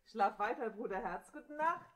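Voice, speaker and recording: A woman's voice: on the high side, lively intonation, normal speed, a bit bright, a little hiss or hum. A woman voicing a boy's casual sister in a German children's audio drama. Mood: teasing, sleepy.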